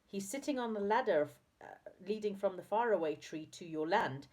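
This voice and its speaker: A woman's voice: medium pitch, lively intonation, moderate pace, a little mellow, voice pushed up, natural voice. A woman voicing a sensible, polite boy in a storybook, matter-of-fact and easily exasperated.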